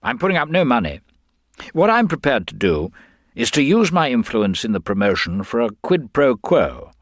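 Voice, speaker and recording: A man's voice: medium pitch, big pitch swings, normal speed, dry recording, studio quality, voice pushed up. An actor playing a stingy, self-important rich young businessman with clipped, pompous upper-class talk.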